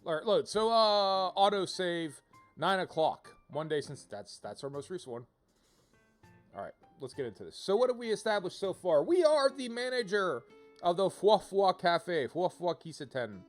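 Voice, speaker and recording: A man giving casual livestream commentary to viewers, thinking out loud in an informal, rambling way and often rephrasing himself. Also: sits high, very animated, moderate pace. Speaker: video game livestreamer, host. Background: music.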